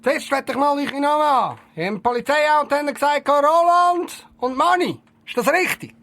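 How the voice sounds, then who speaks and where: A man's voice: very high voice, normal speed, fairly bright tone. A man with a Swiss German accent playing a bossy, boastful police detective in an audio drama, blustering and self-important. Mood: stern.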